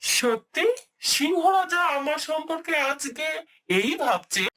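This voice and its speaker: An actress voicing a proud, gullible lioness in a children's cartoon. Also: female voice, high voice, animated, unhurried, fairly bright tone. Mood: credulous, eager. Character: gullible, proud lioness, easily tricked by flattery. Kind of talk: children's cartoon story dialogue.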